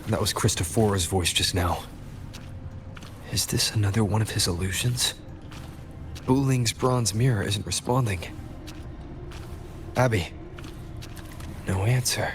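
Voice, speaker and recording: An actor playing a wary, self-possessed wanderer in a video game, thinking aloud in a low-key way. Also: male voice, background noise. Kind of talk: game dialogue.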